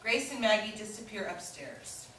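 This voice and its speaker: A woman's voice: mid-range voice, lively intonation, moderate pace, a bit bright, recorded from a distance. A woman reading scripted stage directions as the narrator of a staged play reading. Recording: echoey room from afar, faint noise.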